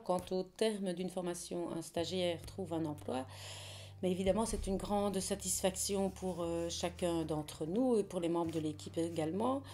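A woman calmly explaining her association's training programmes in a documentary interview. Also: fairly low pitch, moderate pace, a little hiss or hum. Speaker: staff member of a training association.

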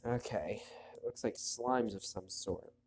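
Male let's-play host, stuffy nose, casual commentary, quietly reads game text. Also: slow pace, background noise. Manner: relaxed, chatty, reads story text in a quiet low voice. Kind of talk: casual gameplay commentary, reading on-screen text aloud.

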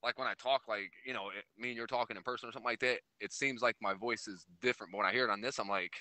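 A man with a fairly deep voice co-hosting a comedy podcast, excitable and giggly, often repeating himself. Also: racing, no room sound. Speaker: podcast co-host. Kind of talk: casual comedy chat.

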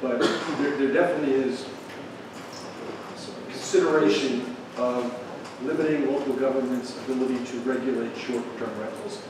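A man's voice: mid-range voice, fairly even intonation, moderate pace, recorded from a distance. Man in a very echoey, noisy meeting room. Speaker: town official advising a board. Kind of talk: explanatory talk at a public meeting. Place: large meeting room, distant camera.

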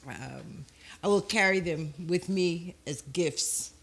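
Bright-voiced woman moderating a panel. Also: lowish voice, slow, creaky.

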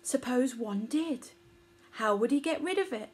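A woman's voice: high-pitched, lively intonation, unhurried, husky. A woman in her thirties narrating a children's storybook aloud, recorded indoors at arm's length. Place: small furnished room indoors.

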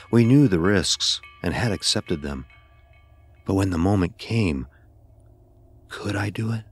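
A man playing an anguished blind youth, telling his ordeal in grave, dramatic, deliberate first-person horror narration. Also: deep voice, breathy edge, full character voice.

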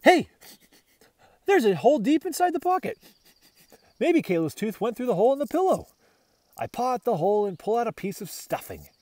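A man's voice: extremely high pitch, brisk, long pauses, no room sound, voice pushed up. A man voicing an eager, earnest pet dog detective who is easily delighted, in a children's storybook. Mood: excited. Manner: excitable, earnest. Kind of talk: first-person children's storybook narration.

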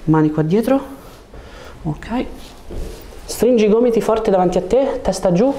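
A man's voice: high voice, expressive, a little slow, dark tone. A man, a chiropractor coaching a patient in short, reassuring commands on a lapel mic in a small room. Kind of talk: calm hands-on instructions to a patient. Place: small treatment room indoors. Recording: noticeable room background noise.

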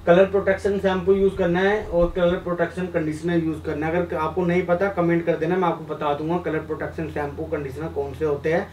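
High-voiced young man, roomy sound. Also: flat delivery, quick pace, few pauses, darkish tone. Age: young adult. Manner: instructive, frequently addressing viewers directly, mixing in English terms. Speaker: hair colour instructor and video host. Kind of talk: informal tutorial explanation at a whiteboard. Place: small indoor room beside a whiteboard. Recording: roomy echo, distant mic, background noise.

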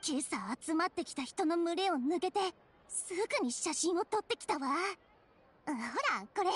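A woman's voice: very high-pitched, lively intonation, normal speed, a little hiss or hum. An actress playing an eager, dramatic young female news reporter in an anime game, with a theatrical, inquisitive manner. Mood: earnest. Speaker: character voice actor.

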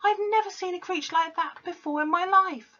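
A woman's voice: very high-pitched, brisk, pushed and bright, lo-fi sound, pitched above the performer's own voice. A woman reading a storybook aloud, putting on an angry, defiant little girl who screams that she is innocent. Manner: screaming, indignant.

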